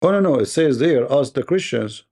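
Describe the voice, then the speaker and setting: Combative host with a mellow, dark voice. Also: male voice, medium pitch, expressive, moderate pace. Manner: combative, repetitive and quizzing. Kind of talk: live-stream debate.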